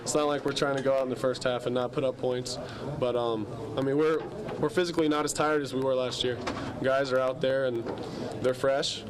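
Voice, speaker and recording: A man's voice: medium pitch, steady tone, a little fast. A young man, a college football player, talking casually in a post-game interview. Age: young adult. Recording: crowd chatter around interview.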